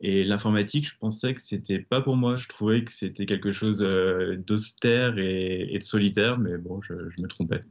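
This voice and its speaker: A man in his thirties giving a measured testimonial, often repeating words as he searches for them. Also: on the low side, lo-fi sound. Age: 30s. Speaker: former banker, now data consultant. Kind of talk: testimonial interview.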